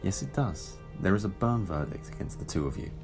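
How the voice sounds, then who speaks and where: An actor playing an urgent, stern Time Lord ex-soldier in an audio drama, insistent and formal. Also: male voice, sits low, very animated, a little fast, a little mellow, faint music behind.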